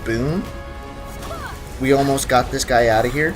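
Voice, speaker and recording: A man's voice: medium pitch, unhurried. A young man, chatting casually with his live-stream audience while playing a video game. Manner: chatty, greets chat, talks to himself while playing. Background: faint game music.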